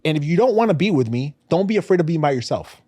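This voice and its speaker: A man's voice: on the high side, sing-song, fast, mellow, slightly rough, dry recording. A man in his thirties with a New York accent, co-hosting a podcast in casual, emphatic, slangy chat. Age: 30s. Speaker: podcast co-host. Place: podcast studio.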